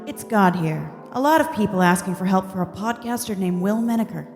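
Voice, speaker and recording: A woman playing a casual, wry, deadpan God narrating a comedic radio play. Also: medium pitch, a little fast, long reverb tail, soft background music, lightly acted. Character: blasé, crude, wry God in heaven.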